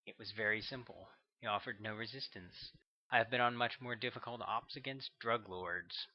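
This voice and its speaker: A man voicing a seasoned female federal agent, speaking quietly and matter-of-factly in an audiobook reading. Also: lowish voice, normal speed, dull audio, natural voice.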